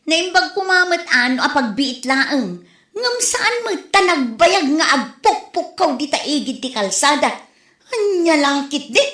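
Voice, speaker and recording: Animated, high-pitched Ilocano woman playing a nagging wife. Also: a little slow, roomy sound, full character voice. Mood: indignant, gossiping. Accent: Filipino, Ilocano. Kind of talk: comedy audio drama acting.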